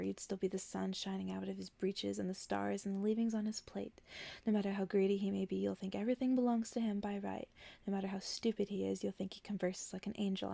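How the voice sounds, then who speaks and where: Lady reading as a tired old witch, blunt and chiding, really creaky, soft and close dry mic, bedtime storybook. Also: mid-range voice, no room sound. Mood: knowing, chiding. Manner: dry, world-weary, chiding. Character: weary, blunt old witch, scornful yet knowing.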